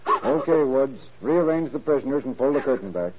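An actor playing a calm, methodical county sheriff, measured and soothing yet authoritative, in 1930s radio acting style. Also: male voice, mid-range voice, background noise, lightly acted. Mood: commanding. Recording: muffled 1930s radio recording.

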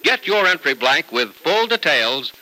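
A male 1940s radio announcer with a very bright, forceful voice and lively swings of pitch. He reads scripted copy with emphatic, polished salesmanship. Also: a little slow, low-quality recording.

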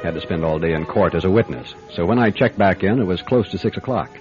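A dull lo-fi radio recording of a man playing a methodical private detective. His voice is low and dry, telling his case in wry, matter-of-fact style. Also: fast, a little mellow, faint music behind, lightly acted. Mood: wry narrating. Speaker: actor in radio drama. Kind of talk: radio mystery acting, first-person detective narration.

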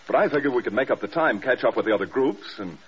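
An actor playing an exhausted, fiercely loyal American bomber group commander in a radio drama, blunt and defensive. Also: male voice, mid-range voice, lively intonation, fast talker, fairly bright tone, close to an everyday voice. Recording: dull, noisy old radio recording.

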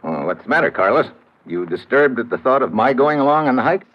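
An actor playing a tough, suspicious American undercover agent in a 1950s radio adventure, clipped and sardonic. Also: male voice, mid-range voice, sing-song, a little fast, muffled recording. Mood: wry. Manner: clipped, hard-boiled, sardonic. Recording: old radio recording with music behind.

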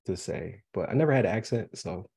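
Husky, low-voiced American man hosting a podcast. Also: animated, fairly quick, dark tone. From Buffalo, New York, US. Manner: rambling, chatty. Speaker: podcast host. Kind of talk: casual conversational interview.

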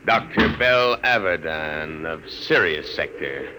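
An actor playing a condescending aristocratic lord and soldier in a 1950s radio drama. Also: male voice, rumbling low, expressive, slow pace, background noise, heavily acted. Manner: condescending, scoffing. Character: smug aristocratic male imperial lord, soldier, bigoted.

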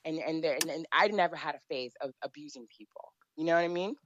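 A woman's voice: low voice, quick pace, a bit bright, highs cut off, slight background noise. A woman speaking as an interview guest, blunt and emphatic, repeating her points. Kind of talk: opinionated interview talk.

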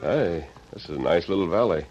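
An American actor playing a steadfast, commanding masked hero in an old radio western, assured, earnest and clearly spoken. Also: male voice, low-pitched, expressive, fairly quick. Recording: dull lo-fi vintage radio recording, some noise.

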